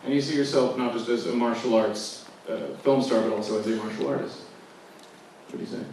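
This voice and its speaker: A man's voice: medium pitch, steady tone, a little fast, a little mellow. A man moderating a casual live Q&A, asking wordy questions and often interrupting himself. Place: large indoor venue at a film festival. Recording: echoey hall, distant mic, faint noise.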